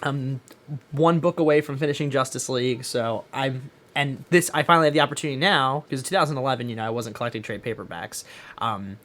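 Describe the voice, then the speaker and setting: A man's voice: mid-range voice, quick pace, a bit bright. A man co-hosting a podcast, chatting casually about pop culture in an opinionated, emphatic way. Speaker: podcast co-host.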